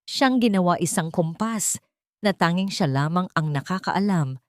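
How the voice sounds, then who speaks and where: Female narrator for dramatic audio fiction, lowish register, very varied intonation. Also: lively intonation, moderate pace, dry recording, clean studio sound. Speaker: storyteller, narrator. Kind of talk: scripted dramatic story narration.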